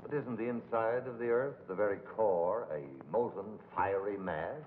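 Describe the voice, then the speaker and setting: Male actor as low-voiced businesslike skeptic, formal and probing, vintage movie audio, narrow and echoing. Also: a little hiss or hum, lightly acted. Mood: skeptical, probing. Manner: measured, probing, formal questioning. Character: skeptical, businesslike male foundation board member. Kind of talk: 1950s film acting. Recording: boomy, tinny old film soundtrack, reverb.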